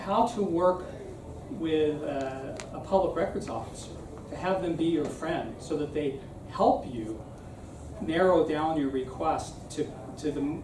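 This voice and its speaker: Man, explanatory public speaker, conversational, slightly high voice, distant mic, background noise. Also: echoey room. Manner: explanatory, conversational, often seeks agreement with "right?". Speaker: speaker giving a civic talk. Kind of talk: informal public talk with audience questions. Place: room at a live meeting.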